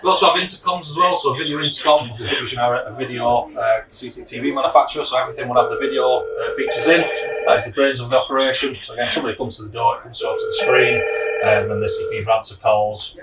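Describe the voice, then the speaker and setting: A man giving an informal product demonstration, explaining things in a practical, matter-of-fact way. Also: mid-range voice. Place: indoors, echoey room. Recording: echoey room, distant microphone.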